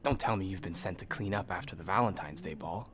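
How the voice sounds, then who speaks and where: A man's voice: low-pitched, fast, crowd noise, close to an everyday voice. A man voicing a friendly, joking young hotel worker, easily flustered, in a narrative video game. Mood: chatty, amused. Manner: informal, joking.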